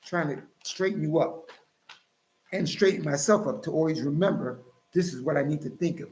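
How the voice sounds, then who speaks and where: A man's voice: a little high, moderate pace, a little mellow, reverberant, low-quality recording. A man preaching and reading scripture in a lecturing, emphatic way, now and then jumping into mocking voices. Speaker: Hebrew Israelite teacher.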